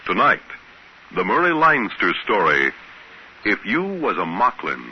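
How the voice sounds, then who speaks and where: Male radio announcer, lowish pitch, dramatic swelling intonation, portentous, vintage 1950s broadcast, muffled. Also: huge pitch swings, unhurried, very noisy. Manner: portentous, declamatory.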